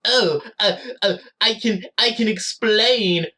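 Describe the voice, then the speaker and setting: Man doing a high, nervous cartoon mouse. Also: sharp tone, exaggerated voice. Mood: panicked pleading. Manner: halting, stammering, pleading. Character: nervous guilty male cartoon mouse performer.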